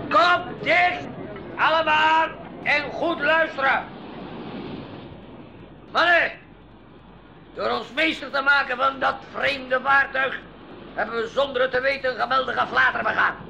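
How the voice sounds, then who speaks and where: An actor playing a bossy, blustering pirate captain who barks orders in a radio drama. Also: male voice, very high-pitched, unhurried, vocal fry, pauses often, bright, forceful tone, full character voice. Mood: shouting orders. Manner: barking orders, blustering, commanding. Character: bossy, bellowing male pirate captain, gullible and brash. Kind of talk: 1980s school radio drama acting. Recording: noisy 1980s radio drama recording.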